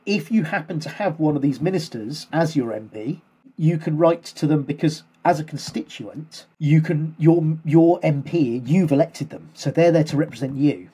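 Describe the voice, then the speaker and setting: Brief: male co-host, chatty explainer, soft husky tone, loose rambling delivery. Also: a little high, low-quality recording. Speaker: podcast co-host. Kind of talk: casual chat.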